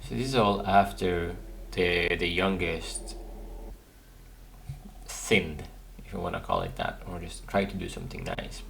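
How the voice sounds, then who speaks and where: Gaming host: man, deep register with heavy fry, casual musing commentary, slight noise behind. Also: expressive, unhurried, fairly bright tone. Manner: musing, thinking aloud.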